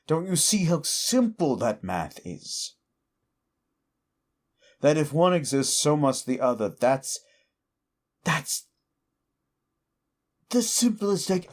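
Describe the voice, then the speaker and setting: A man voicing a bitter, mocking lawful-evil male demon in an audio roleplay. He moves between hushed, menacing warnings and exasperated rants aimed at the listener. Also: fairly high pitch, exaggerated voice.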